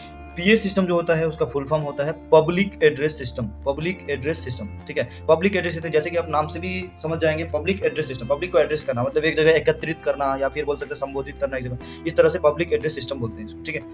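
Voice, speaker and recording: A man's voice: on the high side, quick pace, faint music behind. A man with an Indian accent giving an informal technical lesson, explaining things repetitively with frequent check-in tags. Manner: mixes Hindi with English technical terms. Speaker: instructor presenting an explainer video.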